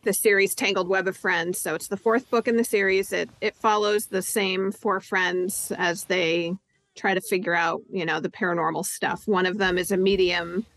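Woman author guest, gravelly voice, hesitant and earnest, dry sound. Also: fairly low pitch, steady tone, moderate pace, fairly bright tone, dry recording, low-quality recording. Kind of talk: interview answers.

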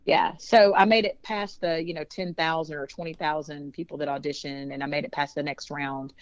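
A woman's voice: sits low, fairly even intonation, a little fast, no echo, muffled recording. A woman, a singer chatting casually in an interview, rambling and laughing often. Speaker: singer and band leader.

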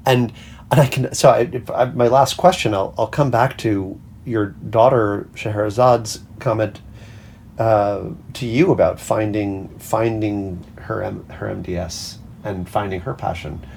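A man's voice: fairly low pitch, steady tone, a little slow, gravelly, a little mellow, slight background noise. A man hosting an interview, asking questions conversationally in hesitant, circling phrases. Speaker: interviewer, host.

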